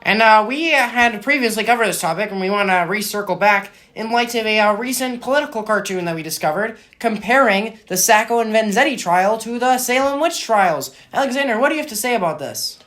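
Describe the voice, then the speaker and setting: A man's voice: high-pitched, expressive, a little fast, few pauses, fairly bright tone. A man co-hosting an amateur mock 1920s radio news show, reading scripted items in a hesitant, conversational way. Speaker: co-host and anchor of a mock radio broadcast.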